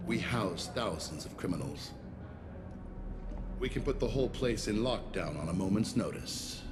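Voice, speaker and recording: Game villain type: commanding, self-righteous alien warden, low formal voice, loud music underneath. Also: male voice. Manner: formal, authoritative, self-assured. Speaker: prison warden. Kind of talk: video game voice acting.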